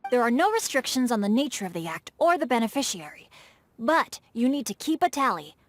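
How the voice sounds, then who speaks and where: A woman's voice: on the high side, big pitch swings, a little fast. A woman voicing a refined, bookish, playful boy with eloquent, formal phrasing in a video game. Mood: eager, playful. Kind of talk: video game character voice acting.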